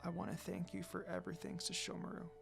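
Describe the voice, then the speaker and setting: A man voicing a gentle, loving, wounded young woman in an anime fan story, soft, breathy and weak. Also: high-pitched, monotone, quick pace, soft background music. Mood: dying, tender.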